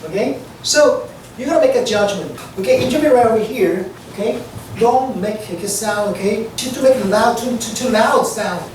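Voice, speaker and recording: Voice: medium pitch, normal speed, a little mellow. An aviation instructor with a mother tongue, lecturing a class in loose, repetitive full of "okay" and "you know". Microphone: distant room mic. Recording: echoey classroom from far off, noisy.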